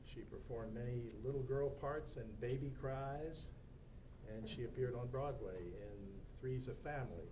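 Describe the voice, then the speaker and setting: A man speaks as the moderator of an informal meeting, some way from the microphone. His delivery is halting and hesitant, with clear background noise around a mid-pitched voice. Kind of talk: informal spoken introduction of a guest. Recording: distant mic in noisy meeting room.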